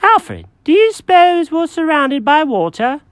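A storyteller voicing a fussy, naive male cat who talks in a high squeak, with an excitable, bewildered manner. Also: a little slow, no room sound.

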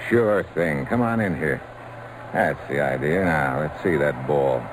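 A man's voice: very deep voice, measured pace. A man playing a hearty, kindly male baseball star with a folksy manner, in 1940s radio acting. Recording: noisy old radio recording.

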